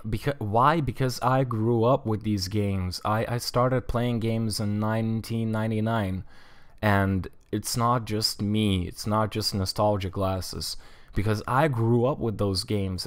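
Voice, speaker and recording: A man in his twenties with a Lithuanian accent, a streamer chatting casually and rambling as he plays, often restarting words and saying "like". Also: on the low side. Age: 20s. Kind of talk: casual chat while streaming a game.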